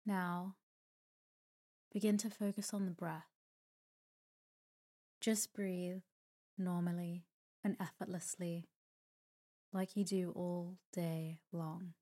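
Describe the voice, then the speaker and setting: A woman guides a sleep meditation in a low-pitched, very mellow voice. She is soft and breathy, at times close to a whisper. Her delivery is calm and soothing, with gentle pauses and an even, unhurried melody. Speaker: meditation guide, podcast host. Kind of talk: guided sleep meditation, slow scripted narration.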